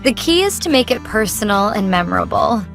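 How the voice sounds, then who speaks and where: A woman co-hosting a scripted English lesson, giving clear, instructive tips. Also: mid-range voice, very animated, fairly quick. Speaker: co-host. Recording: soft guitar music behind.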